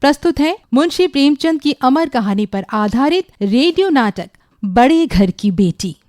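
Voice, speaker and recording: A woman's voice: high-pitched, big pitch swings, a little slow, darkish tone. A woman announcing a radio drama in formal scripted narration with a solemn, literary delivery.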